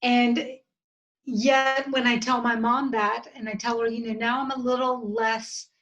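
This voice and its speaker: A woman of about fifty with an American accent, talking earnestly and haltingly as a guest in an interview, often restarting her sentences. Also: a little high, level tone, fairly bright tone, dull audio. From southern United States. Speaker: podcast guest. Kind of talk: reflective interview conversation.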